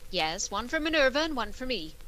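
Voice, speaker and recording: A woman playing a sardonic high school English teacher with dry, deadpan delivery in an old-time radio comedy. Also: fairly quick, a little hiss or hum. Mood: dry, wry.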